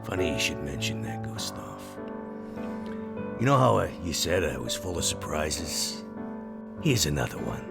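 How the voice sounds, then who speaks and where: A man acts out a sly, menacing gunman for a roleplaying podcast. His very low voice crackles with gravel and drawls deliberately. Loud music runs behind it. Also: sing-song, exaggerated voice. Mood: cold, taunting.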